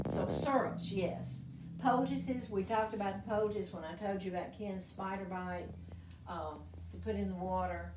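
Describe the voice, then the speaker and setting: A woman's voice: on the low side, darkish tone, slight background noise. Older woman, folksy, echoey room from afar. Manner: folksy, rambling, many ums and restarts. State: slightly hoarse, losing voice. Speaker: herbal medicine demonstrator. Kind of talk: casual show-and-tell explanation. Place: museum's old doctor's office, indoors. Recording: echoey room, mic at a distance.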